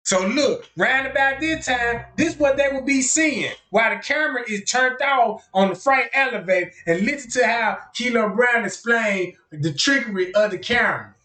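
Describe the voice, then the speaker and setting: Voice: mid-range voice, expressive, normal speed, hardly any pauses, pushed and bright. A livestream host talking casually over video footage, pointing out details to viewers. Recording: echoey room.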